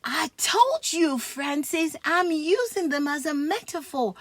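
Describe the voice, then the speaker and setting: A woman's voice: high-pitched, expressive, slow pace, no room sound. A woman playing a cocky schoolboy from a comic strip, self-important and theatrical, in a storybook read-aloud. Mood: defensive. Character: cocky, dramatic schoolboy with grandiose ideas.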